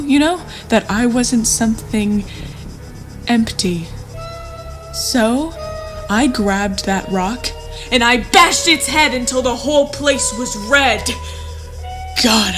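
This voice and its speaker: A woman playing a paranoid, hungry, fierce runaway in an apocalyptic western audio drama, with emphatic phrasing. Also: a little high. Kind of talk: live-streamed audio drama acting.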